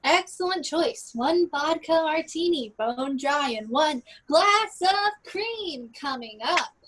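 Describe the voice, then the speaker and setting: Casting: woman as irritated waitress, high voice, dry sarcasm, blunt service-industry attitude, comedy play. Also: animated, slow pace, fairly bright tone, a little hiss or hum, heavily acted. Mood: curt. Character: annoyed, sarcastic overworked waitress. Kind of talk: comedic live play reading.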